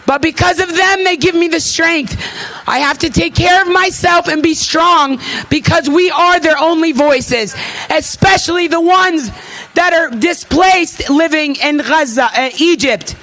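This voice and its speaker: A woman's voice: very high-pitched, unhurried, a bit bright, no room sound, low-quality recording. A woman in her thirties giving an impassioned, emphatic rally speech as an activist, with repeated phrases and emotion in her delivery. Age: 30s. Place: at a rally before an audience.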